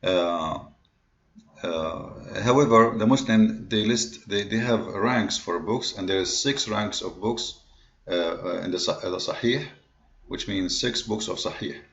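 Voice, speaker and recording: An emphatic man with an Arabic accent lectures his online audience in a fairly low voice. He numbers his points and stumbles through plenty of fillers and restarts. The recording is dull and muffled. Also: unhurried, creaky, a bit bright. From Middle East. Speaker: online commentator on religion. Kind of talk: lecture-style talk to viewers.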